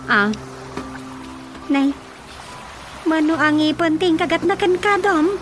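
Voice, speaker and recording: A woman's voice: very high voice, slow, a bit bright, full character voice. An actress playing an exasperated woman at home in a radio drama, with an Ilocano accent. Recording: music behind the voice.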